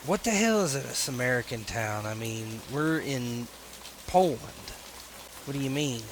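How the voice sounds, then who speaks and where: A man's voice: mid-range voice, animated, measured pace, noisy background, close to an everyday voice. A man playing a blunt, sarcastic soldier. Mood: dry.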